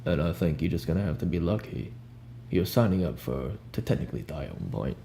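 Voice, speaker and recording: A young man improvising a soft-spoken ASMR roleplay as a cool, ominous recruiter, talking in a casual, blunt, unhurried way over hiss and hum. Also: low-pitched, sing-song, vocal fry. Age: young adult. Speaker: ASMR roleplayer playing a job interviewer. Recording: audible background hiss and hum.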